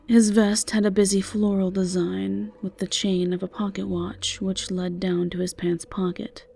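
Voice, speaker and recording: A woman's voice: medium pitch, vocal fry, mellow. A woman narrating a scripted fantasy audio roleplay as a storyteller.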